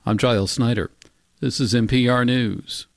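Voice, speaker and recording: A man's voice: medium pitch, fairly even intonation, moderate pace, creaky, no echo, studio quality. A man anchoring a newscast, reading scripted news.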